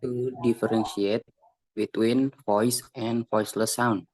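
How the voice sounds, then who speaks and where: Young male student, mid-pitched, hesitant and repetitive when asking questions. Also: steady tone, unhurried, a little mellow, low-quality recording. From Indonesia. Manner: hesitant, repeating himself. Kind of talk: informal question in an online class.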